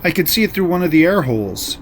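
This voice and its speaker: A man's voice: fairly high pitch, very animated, fast, a little hiss or hum. A man playing a shifty old railroad hand. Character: shifty male railroad man posing as another.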